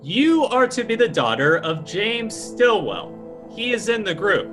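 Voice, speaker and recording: Guy doing a smug séance con man, highish bright voice, theatrical old radio drama, bossy. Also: very animated, unhurried, faint music behind, exaggerated voice. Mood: brisk, commanding. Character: smug, commanding fake spiritualist swindler. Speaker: actor in an old-time radio drama revival. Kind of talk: 1940s radio acting.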